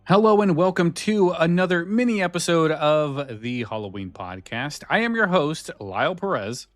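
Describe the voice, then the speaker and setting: A man's voice: on the high side, animated, moderate pace, dry recording, soft background music. A man chatting casually as a podcast host, rambling and a little hesitant. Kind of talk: casual chat.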